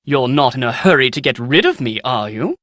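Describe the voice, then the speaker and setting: A man's voice: mid-range voice, big pitch swings, a little fast, a bit bright, no echo, clean studio sound. A man playing a bookish, pedantic historian in a game, speaking precisely and a little pompously. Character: bookish, pedantic male historian in a manor library. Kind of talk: game character dialogue.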